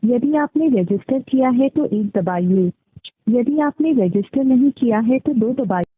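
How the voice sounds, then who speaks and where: A woman's automated phone menu voice reading scripted prompts in a formal, measured, instructional manner. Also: a little high, expressive, husky. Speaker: automated phone line announcer. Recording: muffled, phone-like, roomy call playback. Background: phone call recording played back.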